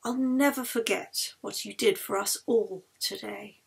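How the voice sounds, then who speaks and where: A woman's voice: medium pitch, a little slow, creaky, husky, heavily acted. A woman voicing a frail, ailing woman in an audiobook, affectionate and plaintive, with a faltering delivery. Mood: weak, grateful.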